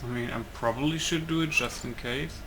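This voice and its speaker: A man's voice: mid-range voice, fairly quick, background noise. A man giving casual gameplay commentary, thinking aloud and muttering to himself in a hesitant way. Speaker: gamer, let's player.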